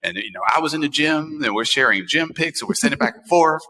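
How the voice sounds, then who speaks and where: An American man in his forties hosting a talk show, chatting casually and telling personal stories in an animated way, repeating phrases for emphasis. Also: a little high, fast talker. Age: 40s. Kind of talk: casual chat, personal storytelling. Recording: echoey, long room reverb.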